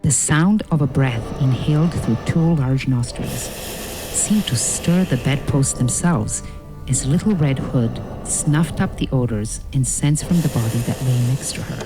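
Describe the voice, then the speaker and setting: A woman narrating a scripted fairy tale in a measured, deliberate way. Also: a bass voice, over music.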